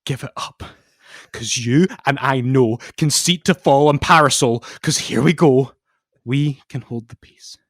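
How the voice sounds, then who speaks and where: A man's voice: mid-range voice, unhurried, dry recording, clean studio sound. Man putting on a theatrical mock-solemn poetry reciter. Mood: loud, dramatic. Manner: theatrical, swinging from hushed to loud. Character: solemn poet dramatically reciting rock song lyrics. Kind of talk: mock dramatic poetry reading.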